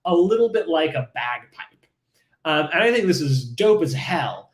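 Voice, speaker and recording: A man's voice: fairly high pitch, sing-song, moderate pace, echoey room. A man teaching a live-streamed coding lesson, casual and enthusiastic, with many fillers and restarts. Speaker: livestream coding instructor.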